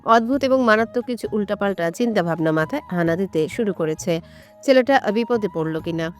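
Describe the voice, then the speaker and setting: Female storyteller, medium pitch, soft music. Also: expressive, brisk. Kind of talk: scripted narration of a romantic audio story.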